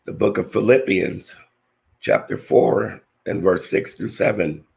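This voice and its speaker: A man whose voice is almost gone reads Bible verses in a rough, husky rasp. His low voice crackles with fry as he teaches slowly and earnestly, stumbling and restarting now and then. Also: a little mellow. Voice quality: raspy, gravelly. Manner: slow, earnest scripture reading with halting restarts. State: hoarse, voice nearly lost. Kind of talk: scripture-reading lesson.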